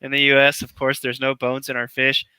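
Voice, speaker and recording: A man from Tennessee, chatting on a video call in an animated, complaining way. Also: medium pitch, smooth, no room sound, low-quality recording. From Tennessee, US South. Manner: animated, complaining, quick with laughs. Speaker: guest on a live chat. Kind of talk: casual group chat.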